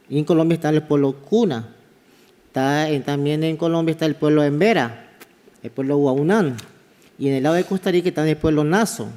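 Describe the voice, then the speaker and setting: A man from Panama with a Kuna accent, an anthropology professor giving a halting conference talk that often repeats words. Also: fairly high pitch, a little mellow. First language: Kuna (Guna). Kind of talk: conference presentation. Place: large conference hall. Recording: reverberant conference hall.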